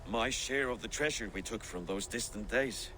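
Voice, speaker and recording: An actor playing a boastful, scheming veteran Viking warrior in a game cutscene. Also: male voice, lowish voice, moderate pace, pushed and bright, slight background noise. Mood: nostalgic. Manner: conspiratorial, boastful. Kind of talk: video game cutscene acting.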